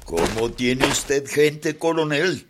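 An actor playing a nervous, deferential old father sent as an envoy in a radio drama, speaking haltingly. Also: mid-range voice, expressive, heavy background noise. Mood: ingratiating.

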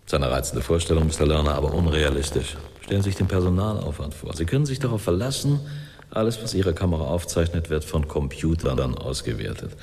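A man's voice: extremely low pitch, lively intonation, fast talker, a little mellow. An actor playing a stern male tribunal official, formal and condescending, questioning someone in a radio satire. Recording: long hall reverb, faint noise.

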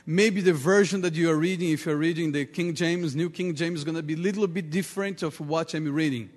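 A man with a Portuguese accent, a native Portuguese speaker, preaching a sermon earnestly in halting English with repeated words. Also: fairly high pitch. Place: large reverberant church room. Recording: reverberant hall with long echo.

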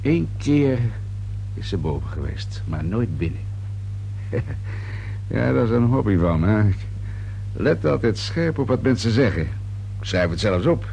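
Shrewd inspector over noisy hum. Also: male voice, fairly low pitch, creaky, full character voice. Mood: probing, musing. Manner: musing, unhurried questioning with a polite, probing edge. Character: wry, methodical police inspector, deceptively affable and shrewd. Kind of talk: radio drama acting. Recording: hum and steady noise behind voice.

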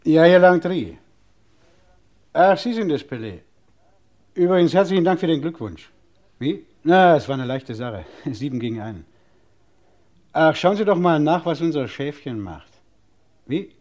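An actor playing a self-assured, jovial and ironic police inspector in a crime radio play. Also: male voice, on the high side, lively intonation, a little slow, pauses often, a little mellow. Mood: jovial, wry.

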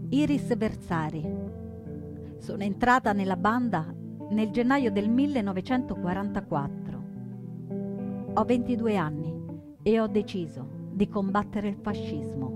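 An actress playing a proud young woman partisan in her twenties, telling her story in measured, earnest theatrical narration. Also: mid-range voice, expressive, lightly acted. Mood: proud, resolute. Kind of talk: scripted theatrical first-person narration. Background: plucked guitar music.

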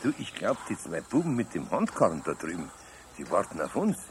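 An actor playing a kindly, good-humoured older male master carpenter with a Bavarian accent in a 1970s radio play, chuckling and gently teasing. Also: sits low, big pitch swings, a little fast, a little hiss or hum. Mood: cheerful.

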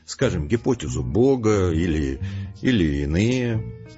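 A coolly logical, self-assured man lectures in an audio drama. His lowish voice swings widely in pitch with formal emphasis, slightly dull in sound over light music. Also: animated, unhurried, dark tone. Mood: confident, didactic. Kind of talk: scripted audio drama dialogue.

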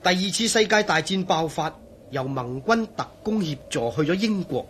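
An actor with a Hong Kong Cantonese accent playing an excitable, sceptical friend in a radio drama, animated and argumentative. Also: male voice, high voice, moderate pace, a little hiss or hum.

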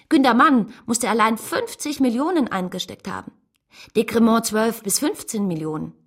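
An actress playing a principled, grieving woman who tells her story with measured restraint in a German radio drama, in a breathy voice. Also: a little high. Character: Caroline, a grieving, principled woman narrating bitterly, devoted to her brother.

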